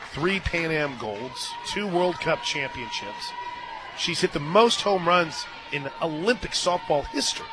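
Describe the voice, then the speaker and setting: A man doing live radio play-by-play commentary of a softball game as the announcer. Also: on the high side. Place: outdoor softball stadium radio booth. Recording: stadium crowd noise and music behind. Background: crowd and music.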